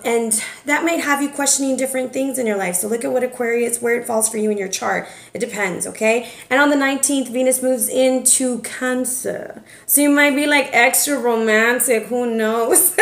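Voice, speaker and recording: A woman giving a casual, sassy tarot forecast, chatty and playful, a little hoarse from recovering from bronchitis. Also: high-pitched, a bit bright. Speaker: tarot reader. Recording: echoey room.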